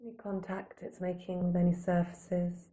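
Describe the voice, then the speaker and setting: A woman with a deeply mellow, slightly low voice leads a guided body scan. She speaks calmly and gently, without hurry. Her soothing instructions are spaced out with long pauses. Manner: calm, unhurried, gentle phrasing with long pauses. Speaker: meditation guide.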